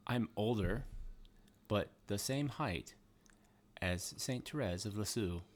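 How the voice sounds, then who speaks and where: A man's voice: lowish voice, slow. A man co-hosting a podcast, answering quiz questions with short, dry jokes. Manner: dry joking, short replies. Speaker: podcast co-host. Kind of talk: casual chat.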